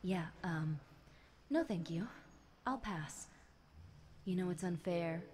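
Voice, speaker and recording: A woman's voice: on the low side, slow pace, a little mellow. A woman voicing a bored, chatty demon girl in an audio roleplay, casual and teasing, talking straight to the listener. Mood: wry, indignant. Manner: casual, teasing, conversational with light chuckles. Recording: clear background noise.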